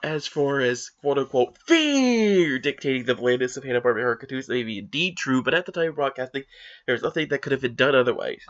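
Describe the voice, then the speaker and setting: A man's voice: fairly high pitch, a bit bright, muffled recording. A man giving a dramatic reading of online forum comments, with exaggerated, indignant, theatrical emphasis. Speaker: reader of forum posts.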